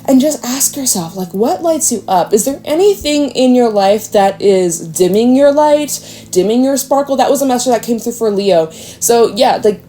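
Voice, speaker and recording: Congested woman reading tarot, mellow voice. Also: fairly high pitch, expressive, moderate pace, slight background noise. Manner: gentle, encouraging, repetitive questioning. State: congested nose. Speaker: tarot reader. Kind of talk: casual tarot reading to camera.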